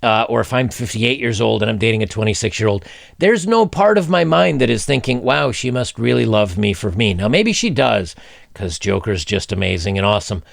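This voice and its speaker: A man's voice: lowish voice, a little fast, vocal fry, no room sound, studio quality. A man in his forties hosting a commentary video, reading a news article aloud and breaking off to give his blunt opinions. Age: 40s. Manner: blunt, opinionated, animated, with hand gestures. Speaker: commentary channel host. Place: indoor home studio, seated in gaming chair. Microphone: desk microphone in front.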